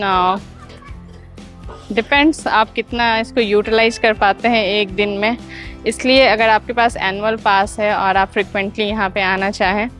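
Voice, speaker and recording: A woman in her thirties giving a chatty travel vlog tour with a lapel mic, pausing with "uh" as she explains things to viewers. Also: a little high, a bit bright. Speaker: travel vlogger. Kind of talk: casual travel vlog narration. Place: outdoor theme park. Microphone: clip-on lapel mic.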